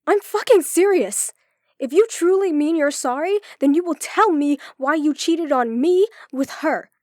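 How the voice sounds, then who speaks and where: A woman acting a guarded, sharp-tongued, hurt ex-girlfriend in an audio drama, with a curt, sardonic manner. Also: high-pitched, a little slow, dry recording, studio quality. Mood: angry, hurt.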